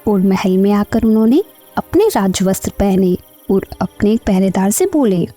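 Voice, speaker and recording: A woman's voice: medium pitch, animated, normal speed, mellow, faint music behind. A woman narrator reading a scripted children's story.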